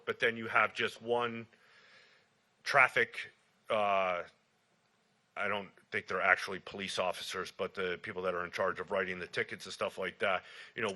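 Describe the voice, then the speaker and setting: A man, a shuttle cart driver, giving public comment at a city meeting, speaking loudly and drifting away from the microphone. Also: on the low side. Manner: loud voice, speaks away from the mic. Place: city commission chamber. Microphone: podium microphone, often off-mic.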